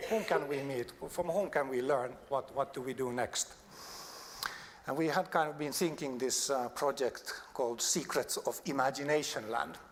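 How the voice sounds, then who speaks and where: Man presenting in a reverberant, noisy hall. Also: on the high side. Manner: halting, searching for words. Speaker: designer giving a talk. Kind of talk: conference presentation. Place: large conference hall on stage.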